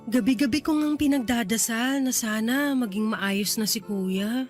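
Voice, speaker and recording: An actress as a young Filipina little sister in a radio drama, sweet and playful. Her voice is clearly high, with light music behind it. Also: measured pace, lightly acted. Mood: worried, earnest.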